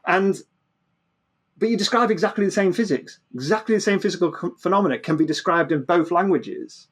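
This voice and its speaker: A man's voice: sits high, fairly quick, slightly rough. A man explaining physics conversationally as an interview guest, often repeating words and restarting mid-phrase.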